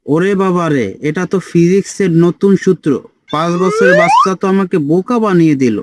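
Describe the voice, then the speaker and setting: A man's voice: high voice, wildly swooping pitch, fairly quick, no room sound. A man voicing an officious, easily baffled school inspector who questions everything in a comic cartoon. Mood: astonished.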